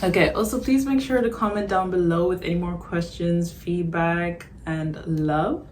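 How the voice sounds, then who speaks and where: A woman's voice: fairly low pitch, fairly even intonation, normal speed, a little mellow, echoey room, slight background noise. A young woman from Zimbabwe, a vlogger talking casually to camera, chatty and a little self-conscious, often laughing. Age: young adult. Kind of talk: casual vlog monologue. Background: background music.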